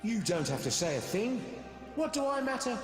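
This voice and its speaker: A man's voice: very high voice, big pitch swings, normal speed. A man playing the bitter, aggrieved ghost of a young blacksmith in a video game, sulky and complaining. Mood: bitter self-pity. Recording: long cavernous reverb, game music behind.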